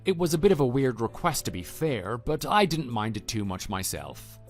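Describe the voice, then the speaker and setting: A man playing a calm, matter-of-fact husband and former teacher in a scripted online radio drama. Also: mid-range voice, lightly acted. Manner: measured, matter-of-fact, quietly dry. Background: background music.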